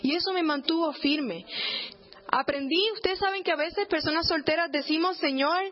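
High-voiced Puerto Rican woman giving testimony. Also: normal speed, lo-fi sound. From Puerto Rico. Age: 30s or older. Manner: earnest, emphatic repetition. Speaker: church testimony speaker. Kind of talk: personal testimony to a congregation.

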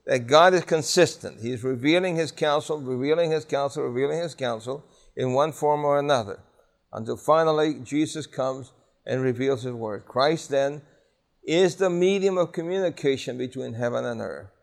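A man's voice: medium pitch, normal speed, gravelly. A man teaching a Bible class, conversational and emphatic, often asking the students questions. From New York City.

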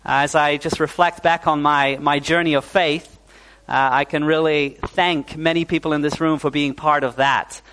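A man's voice: a little high, steady tone, normal speed. A young man from New Zealand preaching a sermon as pastor to a congregation, often saying "uh" and calling for "amen". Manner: warm, fillers like "uh", calls for "amen".

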